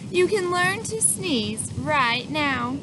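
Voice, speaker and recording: Woman storybook reader, high and unhurried, lively with little listeners, noticeable background hiss. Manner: animated, asks the listener questions, acts out sneezes. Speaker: children's book reader. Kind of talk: reading a picture book aloud to children.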